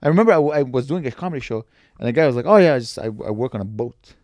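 Animated man riffing on a podcast. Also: medium pitch, very fast, dry recording, studio quality. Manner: animated riffing, swears freely, acts out quotes. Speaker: podcast co-host and comedian. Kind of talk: casual comedic podcast chat.

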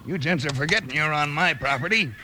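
An actor playing a defensive cattle rancher with curt rural western speech in an old-time radio drama. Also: male voice, on the high side, a little fast, noisy background, close to an everyday voice. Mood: hostile.

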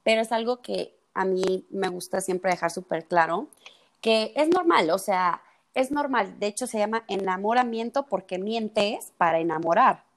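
A woman's voice: medium pitch, moderate pace. A woman in her twenties from El Salvador, a psychologist guest, explaining things in a friendly, casual way with fillers. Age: 20s. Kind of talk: casual podcast conversation.

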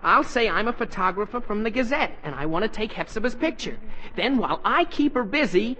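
An actor playing an excitable, scheming teenage boy with an urgent, whiny, melodramatic delivery in 1940s radio comedy. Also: medium pitch, fairly quick. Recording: noisy 1940s radio recording.

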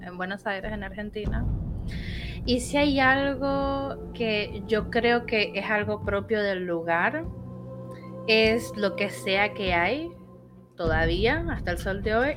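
Venezuelan woman telling a spooky story. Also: medium pitch. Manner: lively, laughing, animated retelling. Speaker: guest storyteller. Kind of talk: casual storytelling on a live stream. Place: home, lives in Buenos Aires.

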